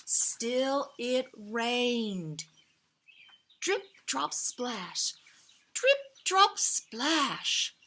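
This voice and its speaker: A woman reading a picture book aloud to young children, outdoors, with dramatic pauses, emphasized key words and gentle asides to her listeners. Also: fairly high pitch, animated. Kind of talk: expressive children's read-aloud.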